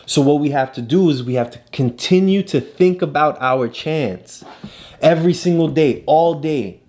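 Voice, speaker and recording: Very deep-voiced woman coaching a child. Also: normal speed, dark tone. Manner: encouraging, lecturing, frequent "right?" check-ins. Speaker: parent discussing a picture book with a child. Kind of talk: casual coaching talk about a story.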